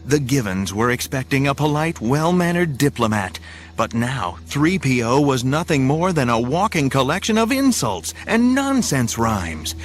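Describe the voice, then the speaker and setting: A man's voice: mid-range voice, very animated, moderate pace. A man narrating an audio drama, reading a scripted story in a dramatic style. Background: music.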